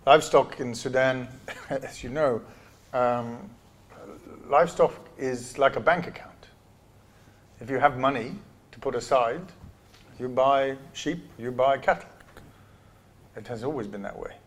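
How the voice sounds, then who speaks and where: A man, a food aid country director, answering press questions in a relaxed, hesitant way. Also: mid-range voice, unhurried, pauses often.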